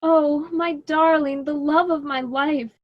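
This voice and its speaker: A woman's voice: sits high, fairly even intonation, takes it slowly, lo-fi sound. A woman playing a vain, melodramatic young man of myth in a radio drama, declaiming each line. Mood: lovesick anguish.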